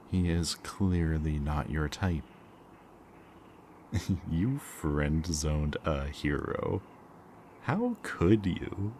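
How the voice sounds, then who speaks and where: A man playing a smug, taunting kidnapper villain in an audio roleplay, with a mocking, theatrically drawn-out delivery. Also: extremely low pitch, creaky, a little mellow, slight background noise, exaggerated voice. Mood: taunting, gleeful. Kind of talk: scripted audio roleplay, ASMR.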